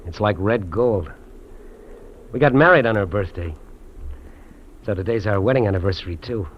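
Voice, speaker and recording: An actor playing a lonely, lovestruck fugitive trapper, earnest and confiding, in 1950s radio drama style. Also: male voice, lowish voice, moderate pace, close to an everyday voice. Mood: wistful, tender. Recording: dull, noisy old radio recording.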